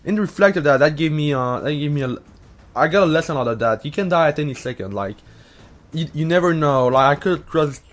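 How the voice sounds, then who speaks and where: A man's voice: on the high side, animated, brisk. A young man with a Quebec French accent, partly Americanized, rambling in a casual vlog full of swearing, "uh" fillers and restarts. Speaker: YouTuber answering Reddit questions.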